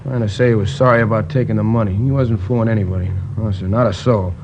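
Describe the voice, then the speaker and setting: Rambling, indignant actor as a store manager. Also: male voice, fairly low pitch, steady tone, quick pace, background noise, lightly acted. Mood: recounting. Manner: chatty, rambling, indignant with hesitations. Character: talkative, indignant male liquor store manager. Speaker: store manager, robbery victim. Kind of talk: 1950s radio crime drama acting. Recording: dull old radio transcription.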